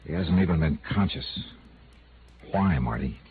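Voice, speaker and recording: An actor playing a tough, wry insurance investigator in 1950s radio noir style, clipped and hard-boiled. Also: male voice, rumbling low, animated, normal speed, a little hiss or hum. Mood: terse, wary. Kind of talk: 1950s radio noir acting and first-person narration. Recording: muffled vintage radio broadcast, phone-like band.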